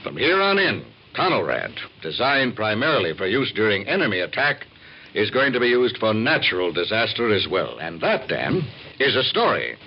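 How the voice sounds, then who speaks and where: An actor playing a knowing, persuasive American newspaper editor, with measured, weighty delivery in a 1950s radio drama style. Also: male voice, fairly low pitch, big pitch swings, breathy edge, exaggerated voice. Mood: grave, persuasive. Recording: dull, faintly noisy vintage radio recording.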